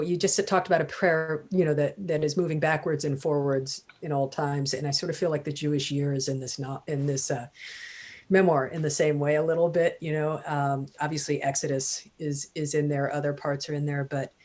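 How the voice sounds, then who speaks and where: A woman author talking thoughtfully about a book. She hesitates often and pauses with fillers. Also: deep voice, a little mellow. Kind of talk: casual literary conversation.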